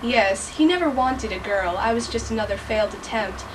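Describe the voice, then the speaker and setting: Deadpan teenage girl, far from mic. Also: on the high side, normal speed. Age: teens. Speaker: student actress. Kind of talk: school project sketch. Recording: distant mic, noisy room.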